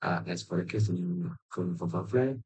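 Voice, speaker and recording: A man giving casual gameplay commentary in a halting, mumbled way. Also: low-pitched, darkish tone, slightly rough, poor audio. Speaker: game streamer.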